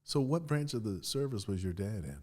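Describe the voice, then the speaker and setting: Low-pitched male host, friendly interviewer. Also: lively intonation, mellow. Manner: encouraging interviewer, quick to laugh, short "oh, okay" replies. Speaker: podcast host. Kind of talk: casual interview.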